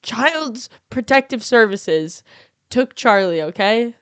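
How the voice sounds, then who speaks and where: A woman's voice: a little high, lively intonation, takes it slowly, fairly bright tone, clean tone, dry recording. A woman guesting on a podcast, improvising comedy scenes with brash, crude jokes. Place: house.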